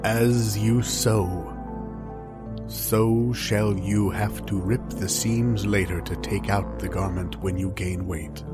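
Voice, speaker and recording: A man playing a solemn new-age mystic in a mock advert, with drawn-out, portentous delivery. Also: fairly low pitch, fairly even intonation. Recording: new-age music clearly behind. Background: new-age music.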